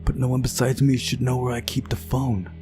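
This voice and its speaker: A man reading a visual novel aloud as a dramatic narrator, with soft guitar music behind him. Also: medium pitch, level tone, fairly quick. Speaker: game playthrough narrator.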